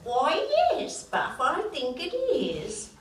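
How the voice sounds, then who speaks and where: A woman playing a sweet female sidekick in a live radio serial parody, with drawn-out, exaggerated sing-song speech. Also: high-pitched, huge pitch swings, slight background noise, exaggerated voice. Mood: agreeable. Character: sweet, slow-speaking female sidekick called Deirdre. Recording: echoey theatre from far off.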